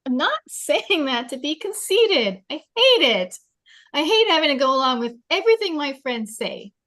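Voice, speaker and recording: A woman's voice: high-pitched, big pitch swings, normal speed, a bit bright. A woman reading the part of a popular, conceited and defensive teenage girl in a film script table read, argumentative and frank. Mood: upset.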